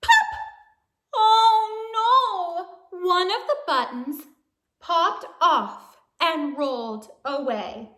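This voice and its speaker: Female children's read-aloud narrator, very unhurried, playful and exaggerated, sing-song delivery, recorded in an echoey room. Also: extremely high pitch. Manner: animated, playful, exaggerated exclamations and questions to young listeners. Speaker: storyteller reading a picture book to children.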